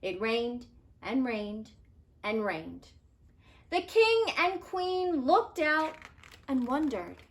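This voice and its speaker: Woman, storybook reader, slightly high voice, lively swooping intonation, dramatic deliberate phrasing. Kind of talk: expressive children's story read-aloud.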